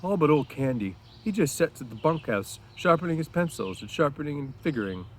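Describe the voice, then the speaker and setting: Storybook role: big, childlike farmhand, performed by a male reader, raised pitch, plaintive, simple rural phrasing. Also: sing-song, fairly quick, slight background noise. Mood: friendly. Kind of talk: storybook read-aloud character voice.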